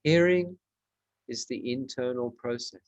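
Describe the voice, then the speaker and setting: A man leading a guided meditation as a teacher, giving measured instructions in short, spaced phrases. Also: mid-range voice, muffled recording.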